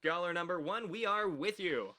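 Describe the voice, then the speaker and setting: An actor playing a cocky, wisecracking late-night radio host in a radio play, with theatrical, sarcastic patter. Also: male voice, sits high, normal speed, sharp tone. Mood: showy, teasing.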